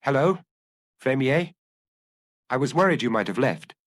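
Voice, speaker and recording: Man reading as a calm, patient police inspector. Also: medium pitch, a little slow, no room sound, clean studio sound, voice pushed up. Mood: polite, measured.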